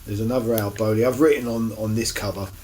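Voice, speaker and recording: A man with a fairly low voice talks casually to camera like a collector showing his finds. His delivery is unscripted and halting, wandering along with frequent pauses. It is a home recording with faint background noise. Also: fairly even intonation.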